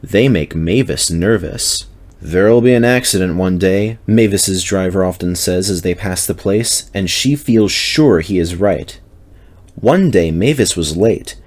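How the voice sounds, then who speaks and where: A man's voice: low-pitched, a little slow, a little mellow. A man reading a children's story aloud as narrator, in a scripted, dramatic storytelling style.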